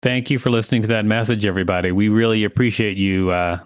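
A man's voice: lowish voice, steady tone, fast, clear, resonant tone, no echo, clean studio sound. A man co-hosting a podcast, reading encyclopedia text aloud and adding casual commentary. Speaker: podcast co-host.

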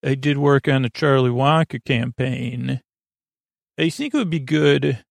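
Creaky-voiced man rambling as bedtime podcast host. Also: medium pitch, measured pace, dry recording. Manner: meandering, hesitant, self-interrupting.

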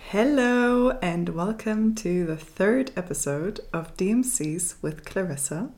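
A woman's voice: medium pitch, lively intonation, takes it slowly, a little mellow, soft background music. A woman hosting a podcast interview, asking polite questions. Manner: polite, scripted opening questions. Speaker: podcast host.

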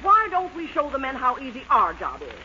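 An actress playing a prim, brisk middle-aged married lady in a 1940s radio comedy. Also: high voice, wildly swooping pitch, bright, forceful tone, full character voice. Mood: resolute. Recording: dull, very noisy old radio recording.

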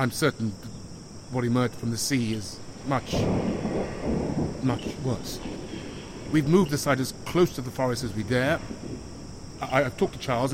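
An actor playing a weary, frightened stranded man who keeps his composure, halting and stammering through a radio log in an audio drama. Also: medium pitch, background noise, close to an everyday voice. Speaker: narrator-survivor sending radio log.

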